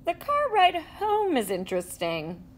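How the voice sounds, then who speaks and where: A woman reads a children's picture book aloud in a higher voice that leaps and dips with every line. She acts out the sound effects with playful energy, recorded indoors at a table. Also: measured pace, slight background noise. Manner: animated storytelling with sound effects. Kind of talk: expressive read-aloud.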